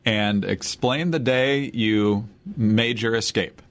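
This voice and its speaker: A man hosting a radio interview, asking direct, measured questions. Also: fairly low pitch. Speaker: radio host.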